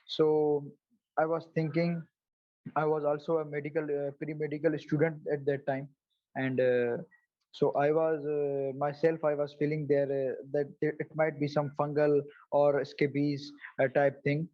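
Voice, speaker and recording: A man in his twenties from Pakistan, speaking as a guest on a video call, halting, with many fillers and restarts. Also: on the high side, flat delivery, no echo, dull audio. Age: 20s. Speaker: guest, psoriasis advocate. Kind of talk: casual interview answers.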